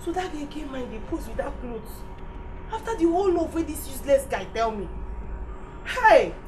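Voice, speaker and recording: A woman with a Nigerian accent playing a betrayed, furious young lover in a Pidgin comedy-drama, wailing and scolding. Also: high voice. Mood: aggrieved, wailing. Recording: distant mic with faint noise.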